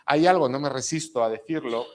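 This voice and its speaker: A man's voice: medium pitch, a little fast. A middle-aged man from Spain with a Spanish accent touched by Argentine habits, giving an academic lecture and often checking in with the audience. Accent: Spanish with some Argentine features. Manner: explanatory, with frequent tag questions to the audience.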